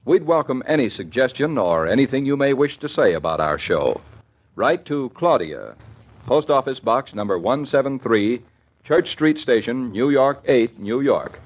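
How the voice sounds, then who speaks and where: An American man announcing in a polished, measured 1940s radio style, reading scripted sponsor copy. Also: medium pitch, lively intonation. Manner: polished, measured sales delivery. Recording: dull old radio recording.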